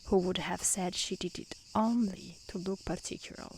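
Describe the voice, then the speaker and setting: A woman softly reading a fairy tale aloud in a gentle, hushed ASMR style that often slips toward a whisper. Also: lowish voice, fairly even intonation, normal speed, creaky. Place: outdoors in nature. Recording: faint outdoor hiss with crickets and insects.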